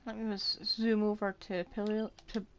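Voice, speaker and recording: A woman's voice: mid-range voice, level tone, moderate pace, slight background noise. A woman game streamer giving casual, wry, offhand livestream commentary.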